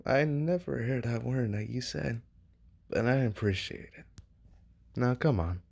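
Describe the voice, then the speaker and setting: Guy doing a shy gentle demon boy with a crush, cartoon dub, slow n a bit gravelly. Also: mid-range voice. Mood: shy, affectionate. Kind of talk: amateur comic dub voice acting.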